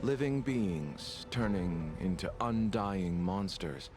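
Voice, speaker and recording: An actor playing a polite, scheming male healer in a game, arguing his case calmly and persuasively. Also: sits low, takes it slowly. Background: game music.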